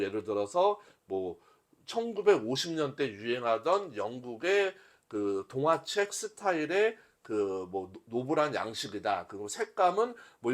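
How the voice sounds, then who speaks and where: A man instructing a workshop in an informal live lecture style, talking conversationally to his listeners and explaining things step by step. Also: a little high. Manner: conversational, addresses listeners and asks rhetorical questions.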